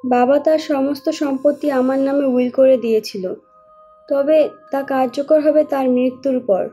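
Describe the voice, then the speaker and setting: Woman acting a high, mellow, mournful female ghost. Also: fairly even intonation, measured pace. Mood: sorrowful recounting. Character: wronged young woman's ghost, sorrowful and resigned. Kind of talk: dramatic horror cartoon voice acting. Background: music.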